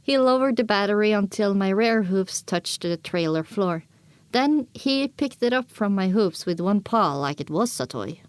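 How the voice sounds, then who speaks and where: A woman narrating a first-person story as an audiobook, expressive and dramatic, on a close microphone. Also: medium pitch, normal speed, no echo.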